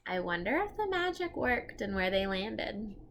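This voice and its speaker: A woman reading a children's storybook aloud, expressive and explaining things to young listeners as she goes. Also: medium pitch, normal speed, a little hiss or hum. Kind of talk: read-aloud narration with asides to young listeners.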